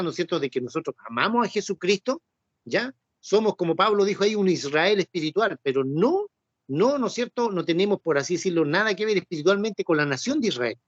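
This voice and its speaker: A man's voice: sits high, no echo. Man, Bible study teacher, rhetorical questions, dull lo-fi sound. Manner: conversational, repeats himself and asks rhetorical questions. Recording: dull, dry lo-fi recording.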